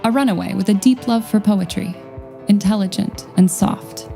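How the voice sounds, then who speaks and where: A woman's voice: medium pitch, normal speed, creaky, mellow. A woman reading scripted cast credits as a narrator. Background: guitar music.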